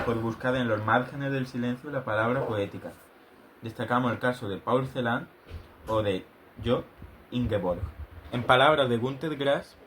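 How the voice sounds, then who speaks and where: A man's voice: medium pitch, slight background noise, rough recording. Man reading a school presentation aloud.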